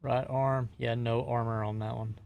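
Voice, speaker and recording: A man's voice: mid-range voice, little pitch movement, moderate pace, vocal fry, phone-quality sound. A man playing a tabletop roleplaying game, chatting casually at the table.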